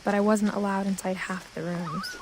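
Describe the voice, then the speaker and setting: A woman playing a reflective seventeen-year-old girl, quietly narrating a story game in measured first person. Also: medium pitch, wildly swooping pitch. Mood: pensive, subdued. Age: teenager. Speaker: narrator protagonist. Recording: clear outdoor nature sounds with insects. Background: ambient insects and nature noise.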